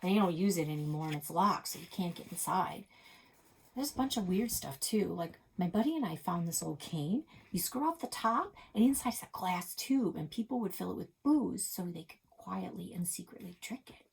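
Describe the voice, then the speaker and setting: Woman as a husky, offhand local boy. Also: fairly low pitch, expressive, moderate pace, exaggerated voice. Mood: confiding. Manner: offhand, slangy, hesitant fillers. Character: casual, chatty local boy, knowing and playful.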